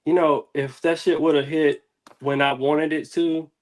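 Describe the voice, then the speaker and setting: A man's voice: on the high side, flat delivery, a little slow. A man, a musician guest, talking casually and reflectively in slangy, candid, rambling interview answers.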